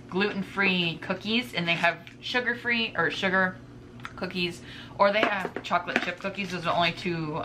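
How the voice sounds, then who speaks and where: A woman in her twenties chatting casually to camera at home as a vlogger, rambling informally and drifting into asides. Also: fairly low pitch. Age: 20s. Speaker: vlogger, stay-at-home mother. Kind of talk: casual chat to camera, showing groceries. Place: indoors in a home kitchen. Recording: clearly noisy home recording with room ambience.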